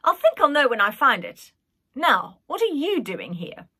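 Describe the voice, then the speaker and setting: Woman acting a high, stubborn boy prince. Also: sing-song, normal speed, sharp tone, husky, full character voice. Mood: earnest, curious. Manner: exclaiming, questioning, defiant.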